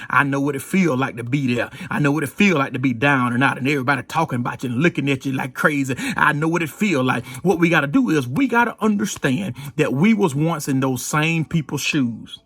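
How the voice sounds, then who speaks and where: A male pastor delivers an informal, exhortative sermon. His voice sits fairly high and has a husky grain. He speaks in a folksy way, repeating phrases for emphasis, and the sound is close and dry with little room in it. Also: a bit bright, no echo.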